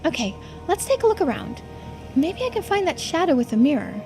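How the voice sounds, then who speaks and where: A woman's voice: high-pitched, very animated, a little fast, music in the background. A woman voicing an anxious, self-doubting young woman in a visual novel game, talking to herself emotionally. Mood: uneasy, pondering. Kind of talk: dramatic voiced reading of visual novel game dialogue.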